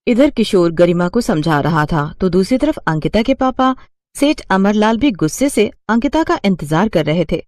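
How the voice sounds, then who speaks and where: A woman's voice: mid-range voice, animated, moderate pace, a little mellow, no echo, studio quality. A woman narrating a cartoon story.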